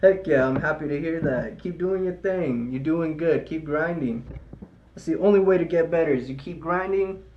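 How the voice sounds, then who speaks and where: A man's voice: fairly high pitch, normal speed, dull audio, slight background noise. A man with a light voice hosting a live trading stream, chatting casually with viewers and cheering on his trades. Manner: hyped, cheering and chanting during trades.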